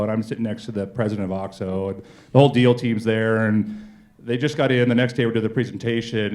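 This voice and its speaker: A man being interviewed as a company executive, telling stories conversationally and acting out exchanges in informal, animated speech. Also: on the low side. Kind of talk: conversational on-stage storytelling.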